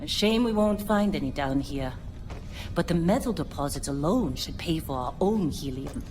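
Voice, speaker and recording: A woman's voice: low voice, expressive, moderate pace, a little mellow, faint music behind. An actress playing a seasoned female mining boss in a video game, commanding and dryly wry.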